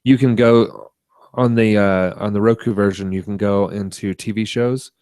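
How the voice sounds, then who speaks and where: A man co-hosting a podcast, chatting casually about tech and media in a halting, rambling way. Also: lowish voice, fairly even intonation, darkish tone, dry recording, studio quality. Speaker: podcast co-host.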